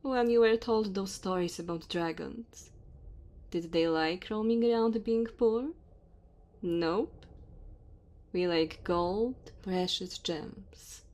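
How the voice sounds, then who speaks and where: A woman's voice: mid-range voice, takes it slowly, a little mellow, natural voice. A woman voicing a dominant, teasing immortal dragon woman in an ASMR roleplay, haughty and speaking straight to the listener. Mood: proud, amused. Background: cave ambience and fire sound effects.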